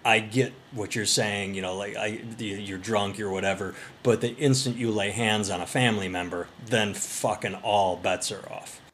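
A man's voice: on the low side, steady tone, moderate pace, few pauses, fairly bright tone, a little hiss or hum. A man co-hosting a podcast, casually telling a profane, rambling story. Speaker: podcast co-host.

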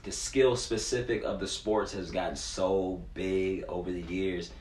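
A man in his twenties with a very low voice talks in a casual, slangy way, like a coach in an interview. The room around him is echoey, with a faint noise underneath. He comes across as earnest and informal. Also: fairly even intonation, unhurried, a little mellow. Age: 20s. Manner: informal, slangy, earnest, frequent "you know". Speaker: youth sports performance coach, interview guest.

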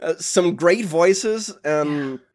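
A man's voice: on the high side, lively intonation, very slow. A man with a Brazilian accent, a native Portuguese speaker, chatting casually and enthusiastically as an interview guest. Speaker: guest, audio fiction producer.

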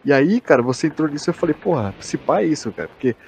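A man's voice: fairly high pitch, expressive, quick pace, creaky, a little mellow, a little hiss or hum. A Brazilian man co-hosting a podcast, chatting casually in slang and addressing his partner like a buddy. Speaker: podcast co-host. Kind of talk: casual chat, theorizing.

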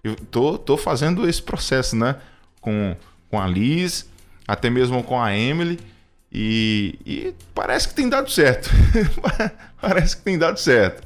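A man's voice: medium pitch, big pitch swings, unhurried, soft background music. Earnest hesitant man in his thirties. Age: 30s. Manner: hesitant, many restarts and fillers, earnest. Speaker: radio program co-host.

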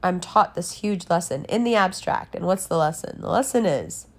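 A woman's voice: fairly low pitch, animated, normal speed. A woman giving a candid personal monologue in a blunt, emphatic way, with frequent swearing. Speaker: podcast and channel host.

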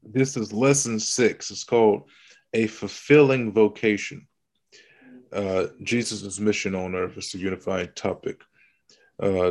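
A man hosting a casual Bible lesson over a video call, his voice raspy from illness, explaining things slowly and teasing his partner. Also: lowish voice, darkish tone.

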